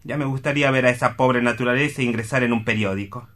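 This is a man playing a witty, cynical newspaperman in a radio play. The voice sits in a middle register with a bright, forceful edge, and the delivery is declamatory and full of irony. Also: mid-range voice, steady tone, fast talker, close to an everyday voice. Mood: mock exasperation. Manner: declamatory, ironic. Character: witty, cynical urban newspaperman, jaded with nature.

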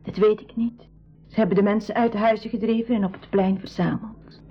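A woman's voice: mid-range voice, fairly even intonation, fairly quick, slightly rough, soft background music. A shy village girl of seventeen, frightened and hesitant as a refugee in an old radio drama. She is sparing with words. The recording is dull and lo-fi. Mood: frightened, subdued. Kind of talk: 1980s school radio play acting.

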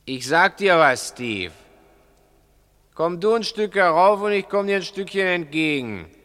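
Smug needling burglar in an old radio play, bright animated voice, big echoey stairwell. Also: male voice, sits high, measured pace, clear, resonant tone. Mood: coaxing. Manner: condescending, needling. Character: taunting, condescending male burglar, slyly mocking his partner. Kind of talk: 1960s German radio play acting. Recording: 1960s radio drama, reverberant stairwell sound.

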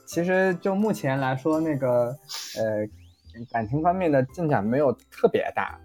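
A man in a casual group chat as a voice actor guest, speaking in hesitant, halting phrases. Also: mid-range voice, animated, darkish tone, soft background music.

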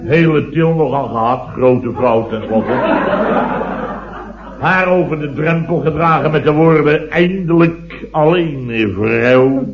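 Flustered rambling husband, highish voice. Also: male voice, takes it slowly, crowd noise. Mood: self-important reminiscing. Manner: rambling, emphatic, peppered with tag questions. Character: married man, flustered long-winded comic storyteller complaining about wife. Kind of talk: 1970s radio comedy sketch. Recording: dull old radio recording, roomy, audience laughter.

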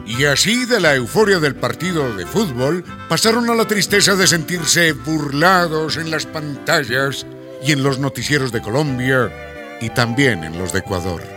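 A man's voice: on the high side, animated, normal speed, over music, close to an everyday voice. A man narrating a radio drama from a script.

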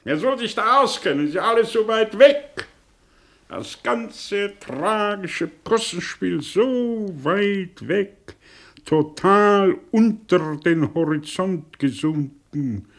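Proud, tormented intellectual, swooping theatrical delivery. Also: male voice, sits high, animated, a bit bright, exaggerated voice. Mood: weary, dismissive. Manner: theatrical, declamatory, rhetorical pauses and repetitions. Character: proud, self-tormented, grandiose intellectual man confessing his past. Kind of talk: German radio play acting.

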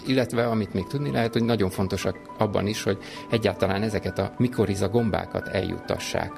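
Very gravelly man explaining science. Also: fairly low pitch, over music. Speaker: soil animal researcher. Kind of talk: explanatory interview talk. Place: laboratory at a microscope. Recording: faint background noise.

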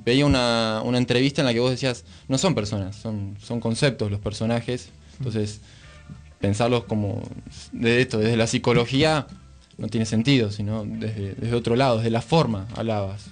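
A man's voice: mid-range voice, steady tone, moderate pace, faint music behind. A man with an Argentine Rioplatense accent interviewing casually and thoughtfully, tentatively building questions in fragments. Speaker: radio interviewer. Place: radio studio.

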